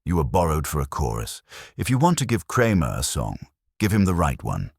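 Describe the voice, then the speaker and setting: A man voicing a wisecracking, steady detective's assistant with a breezy, direct manner in an audiobook. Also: rumbling low, brisk, husky, dry recording, studio quality, full character voice. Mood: reassuring, direct.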